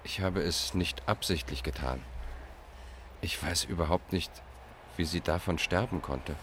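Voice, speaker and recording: An actor playing a middle-aged fugitive accused of killing in a German radio play, matter-of-fact and pedantic. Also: male voice, low-pitched, a little slow, a bit bright, slight background noise. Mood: detached, evasive. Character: cold, evasive male fugitive accused of killing.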